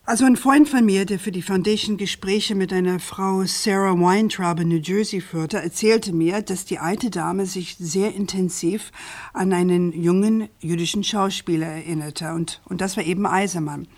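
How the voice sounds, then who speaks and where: An actress playing a self-assured, inquisitive American journalist in a German radio crime drama, speaking politely but pointedly. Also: female voice, on the low side, moderate pace, darkish tone. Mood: earnest, explaining.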